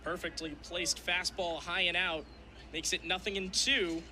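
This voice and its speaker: A man's voice: high voice, little pitch movement, measured pace, sharp tone, slight background noise. A young man doing radio play-by-play of a live game in clipped, descriptive phrases. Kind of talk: live sports commentary.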